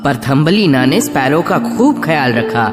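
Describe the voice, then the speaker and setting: Male narrator, children's fairy tale, animated intonation, long reverb, music underneath. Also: fairly high pitch, moderate pace. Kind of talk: scripted children's story narration.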